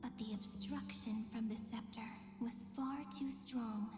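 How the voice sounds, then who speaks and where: Woman as a high, soft, wistful young game heroine. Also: fairly even intonation, natural voice. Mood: reflective. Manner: slow, tender, measured storytelling. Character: gentle wistful young female guide looking back on sacrifice. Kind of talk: scripted game story voice acting. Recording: echoey long reverb, loud music behind.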